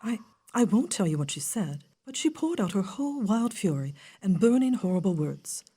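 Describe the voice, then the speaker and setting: An earnest, proper young governess under accusation in an audio drama. Her voice is mellow and husky, halting and emphatic, with melodramatic swings in pitch. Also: sing-song. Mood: distressed. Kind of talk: melodramatic audio theatre acting.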